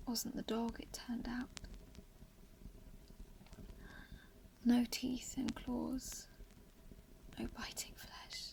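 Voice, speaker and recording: A woman playing a weakened, haunted lone survivor in a horror radio play, speaking in halting, breathy, whispered fragments. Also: fairly high pitch, rough recording. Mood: weary, haunted. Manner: halting, fragmented phrases with long pauses. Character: dying lone female survivor, entangled, haunted, bitterly resigned.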